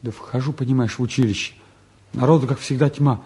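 An actor playing a restless, cheeky and candid teenage son in a 1950s Soviet radio play, talking in an impulsive, colloquial way. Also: male voice, medium pitch, fairly even intonation, fairly quick, mellow, slightly rough. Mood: wry, confessional.

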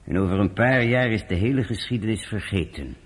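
A man playing a reluctant, persuasive British general in a Dutch radio drama, husky, earnest and coaxing. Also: on the low side, fairly quick. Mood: grave persuasion.

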